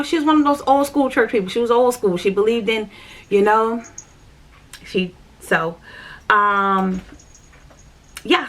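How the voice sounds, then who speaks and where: Bright-voiced woman telling her story. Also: a little high. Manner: rambling, repeats herself, frequent fillers; candid, talks straight to viewers. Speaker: vlogger sharing her spiritual journey. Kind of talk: casual personal storytelling.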